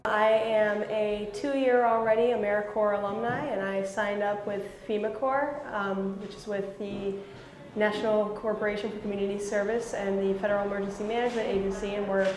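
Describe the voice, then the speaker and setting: A woman's voice: medium pitch, little pitch movement, moderate pace, over music. Woman team leader, level delivery, roomy echo. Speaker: FEMA Corps team leader. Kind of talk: on-site interview.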